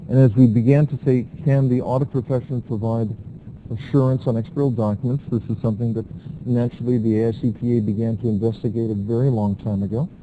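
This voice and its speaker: An American man giving a university lecture, explaining step by step with frequent filler pauses. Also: medium pitch, steady tone, narrow, tinny audio, noisy background.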